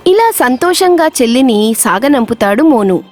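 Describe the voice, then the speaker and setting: A woman's voice: high-pitched, animated, moderate pace, a little mellow, soft background music. A woman narrating a scripted moral story for children as the storyteller.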